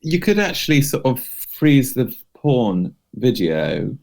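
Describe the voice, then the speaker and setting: Bloke co-hosting a podcast, creaky voice, very up and down, casual chat n questions. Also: male voice, mid-range voice, very animated, takes it slowly, a little mellow. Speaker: podcast co-host.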